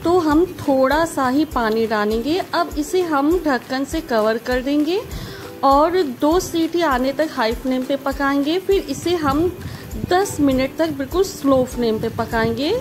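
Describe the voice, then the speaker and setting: A woman's voice: high voice, unhurried. A woman host giving a friendly step-by-step recipe voice-over, repeating emphatic praise for the dish. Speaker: cooking channel host. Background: background music.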